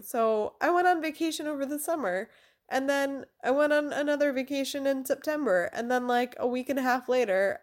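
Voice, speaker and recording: A woman in her twenties hosting a podcast, chatty and informal, with a self-deprecating way of talking. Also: sits high. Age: 20s. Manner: informal, self-deprecating, given to giggling asides. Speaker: podcast host. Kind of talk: chatty podcast intro and interviewing.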